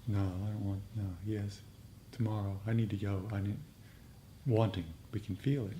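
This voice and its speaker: A man speaking as a meditation teacher in a reflective talk, with unhurried, repetitive phrasing, recorded live at a group meeting. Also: fairly low pitch, steady tone, mellow. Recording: noisy live room recording.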